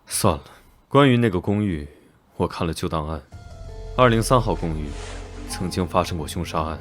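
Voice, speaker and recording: Cold sardonic noble in an anime, super low measured voice, music playing behind. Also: male voice, lively intonation. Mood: cool, grave. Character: aloof commanding nobleman, cool and sardonic. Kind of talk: Chinese animated drama voice acting.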